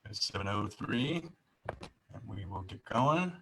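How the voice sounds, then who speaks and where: A man's voice: fairly low pitch, expressive, a little slow, vocal fry, pushed and bright, slight background noise, poor audio. A man hosting a casual live stream, talking informally and often repeating his phrases. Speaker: live show host.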